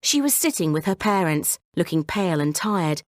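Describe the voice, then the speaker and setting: A woman narrating a scripted children's bedtime story in a measured, clearly phrased way. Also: on the low side, sing-song, no echo, studio quality.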